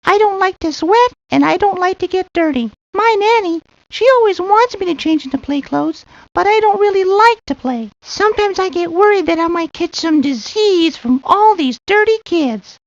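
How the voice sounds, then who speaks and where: A woman's voice: very high voice, animated, normal speed, hardly any pauses, dry recording. A woman voicing a pompous, spoiled nine-year-old rich boy with haughty, formal diction for a video game. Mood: smug. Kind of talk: video game character lines. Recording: dull lo-fi old game audio.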